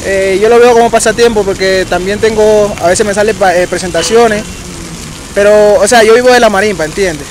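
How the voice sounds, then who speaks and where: A young man, a street marimba player, giving relaxed, hesitant answers outdoors in a park. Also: high voice, brisk, slight background noise, poor audio. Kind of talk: casual interview answers.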